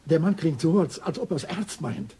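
A man's voice: a little high, animated, moderate pace, creaky, warm tone, a little hiss or hum. An actor playing an anxious, nagging businessman in a radio crime drama. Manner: worried, nagging. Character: anxious, jittery male investment businessman.

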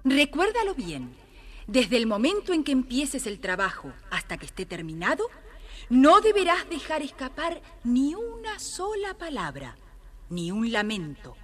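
A woman's voice: sits high, wildly swooping pitch, measured pace, exaggerated voice. A woman playing a benevolent fairy in a children's story, speaking with solemn, grand expression. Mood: grave warning. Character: benevolent, solemn fairy queen giving magical instructions. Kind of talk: dramatized children's audio story acting.

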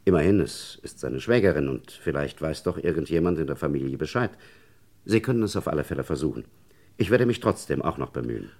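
Very deep-voiced, measured prosecutor. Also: male voice, lively intonation, a little mellow, exaggerated voice. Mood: cautiously helpful. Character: measured, courteous male public prosecutor. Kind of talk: German crime radio play acting.

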